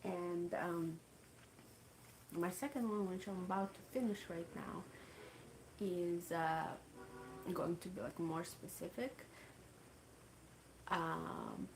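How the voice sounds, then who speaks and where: Gravelly Russian-accented woman chatting live. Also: fairly low pitch, noisy background. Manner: chatty, halting, full of fillers like "um" and "like". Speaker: livestream host. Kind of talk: casual livestream chat.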